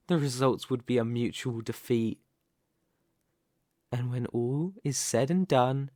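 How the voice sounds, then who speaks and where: A commanding mafia boss, acted by a man for an ASMR roleplay, speaking slowly and deliberately in a mid-pitched voice. He is possessive and persuasive, with measured menace, and the recording is close and dry. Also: no echo. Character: commanding, possessive young male mafia boss, devoted lover.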